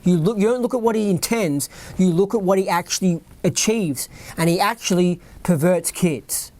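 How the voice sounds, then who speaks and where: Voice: medium pitch, normal speed, darkish tone. Rambling Australian podcaster reading aloud. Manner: rambling, keeps asking "right?" and "you know what I mean?". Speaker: podcast host. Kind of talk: reading a written account aloud with off-script asides.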